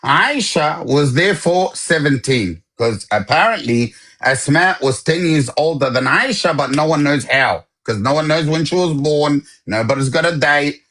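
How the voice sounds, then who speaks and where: A man's voice: fairly high pitch, lively intonation, normal speed, hardly any pauses, fairly bright tone. Insistent man demanding sources. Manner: talks over others, interrupts. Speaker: debater. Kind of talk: heated live-stream debate.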